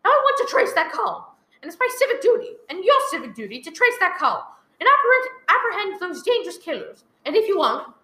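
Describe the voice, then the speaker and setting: A woman's voice: very high voice, sing-song, fairly quick, pushed and bright, heavily acted. A woman playing an anxious, imperious, bedridden wife in a radio thriller, stammering and easily exasperated. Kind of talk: old radio thriller acting. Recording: roomy echo, live one-take recording.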